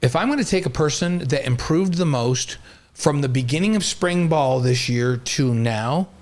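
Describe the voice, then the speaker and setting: Male co-host for sports talk, fairly high-pitched voice, casual conversational delivery. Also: moderate pace.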